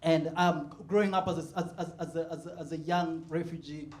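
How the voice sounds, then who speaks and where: A man's voice: fairly high pitch, fairly even intonation, brisk. A man from Sudan, performing a stand-up set in a comedy club and laughing at his own punchlines. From South Sudan. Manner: laid-back, chuckles at his own jokes, builds to loud punchlines. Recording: roomy live club sound.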